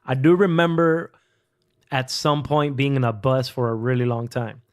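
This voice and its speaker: A man's voice: mid-range voice, steady tone, moderate pace. A man from Costa Rica, a singer guest on a podcast, easygoing and joking in casual chat, a bit stuffy from a cold. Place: studio.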